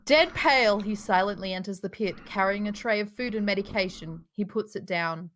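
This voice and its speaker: A woman's voice: medium pitch, moderate pace, a bit bright, a little hiss or hum, low-quality recording. Woman narrating screenplay stage directions. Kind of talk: scripted narration in a live table read.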